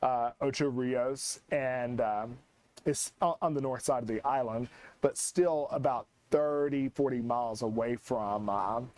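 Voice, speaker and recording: A man working as a TV weather forecaster, giving unscripted live commentary in a halting, explanatory way. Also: medium pitch, steady tone, a little mellow, no room sound.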